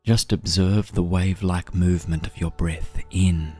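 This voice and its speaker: A man guiding a meditation in a soft, breathy, soothing voice, gently and unhurriedly, with long pauses. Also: low voice, steady tone, no echo, clean studio sound, soft background music. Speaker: meditation guide. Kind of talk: guided meditation narration.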